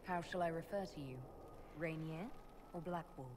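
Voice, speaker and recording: A woman voicing a calm, probing female game hero who questions a companion. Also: low voice, normal speed, background noise, lightly acted.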